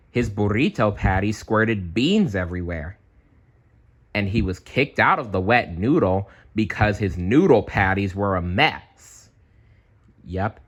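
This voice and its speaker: A man reading a children's storybook aloud, expressive and chatty, with casual asides. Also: on the low side. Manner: animated, chatty.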